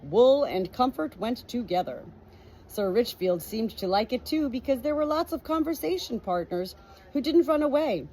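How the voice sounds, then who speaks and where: Expressive woman reading a story, lively pitch. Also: moderate pace, rough recording. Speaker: audiobook reader.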